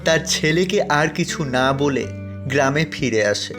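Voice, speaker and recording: Steady male storybook narrator. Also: fairly high pitch, a little slow, over music.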